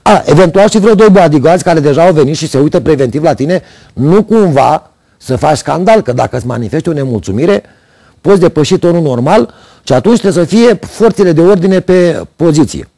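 A man's voice: on the high side, animated, creaky, dark tone, no room sound. A middle-aged man hosting a TV opinion segment, reading scripted commentary with sardonic irony at a studio desk into a lapel mic. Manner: sardonic, ironic complaints. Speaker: TV commentary host.

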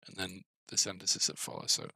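A man's voice: low-pitched, huge pitch swings, a little fast, creaky, pushed and bright, husky, dry recording, rough recording. A middle-aged man giving casual, mildly grumbling, self-deprecating commentary as he codes a game, on a headset mic. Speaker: game developer.

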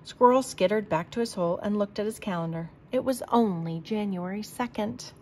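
A woman reading a children's storybook aloud in a deliberate, expressive manner. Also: mid-range voice, slight background noise. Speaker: storybook reader.

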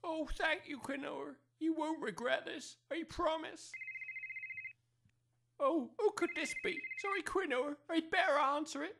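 A woman's voice: sits high, measured pace, creaky, a bit bright, full character voice. A woman playing an anxious, pleading working-class village mother in an amateur comedy audio drama, flustered and apologetic. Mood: worried. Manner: flustered, apologetic, non-standard grammar.

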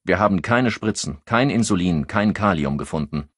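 A sober forensic investigator acted by a male narrator in an audiobook. The voice is low and husky, blunt and matter-of-fact, stressing each item of the report. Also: animated, normal speed, a bit bright, dry recording, clean studio sound, exaggerated voice. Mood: blunt, resigned. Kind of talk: audiobook character dialogue.

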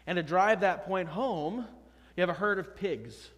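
A man's voice: sits high, a little slow, fairly bright tone. Man preaching in a reverberant church. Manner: conversational, emphatic preaching with rhetorical questions. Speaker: pastor. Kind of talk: sermon. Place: church sanctuary, at a pulpit. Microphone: pulpit microphone, far camera.

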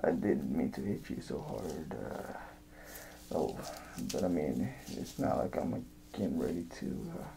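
A man playing a creepy, unhinged evil jester in an ASMR horror roleplay, muttering and rambling haltingly, often dropping to a whisper. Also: extremely low pitch, animated, vocal fry, warm tone, slight background noise, full character voice. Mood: nervous, dithering.

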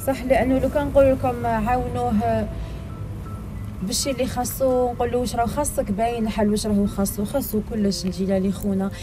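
A woman's voice: fairly high pitch, fairly even intonation, fast, music in the background. A middle-aged woman with an Algerian accent, interviewing and reporting to camera on a handheld microphone.

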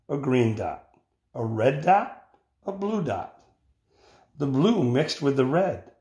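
Older man reading slowly in an echoey room. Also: mid-range voice, darkish tone. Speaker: storybook reader. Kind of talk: reading a children's picture book aloud. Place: indoor room with bare walls. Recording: roomy, echoing home recording.

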